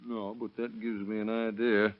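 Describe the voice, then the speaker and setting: A low male voice on a muffled old radio recording , an actor's. He is a tough, dogged plainclothes cop who goes on hunches, blunt and dry in the manner of 1930s crime drama. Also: level tone, normal speed, natural voice. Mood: determined. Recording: dull lo-fi vintage radio recording.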